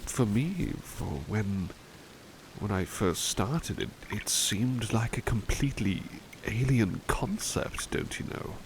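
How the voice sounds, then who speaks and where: A man acts as a genteel, relaxed butler in an ASMR roleplay, musing politely and hesitantly. His low voice is slow and creaky, with steady rain noise behind it. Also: exaggerated voice, poor audio. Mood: pleased, gentle. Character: relaxed, courteous male butler who paints. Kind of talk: ASMR roleplay, one-sided chat with listener.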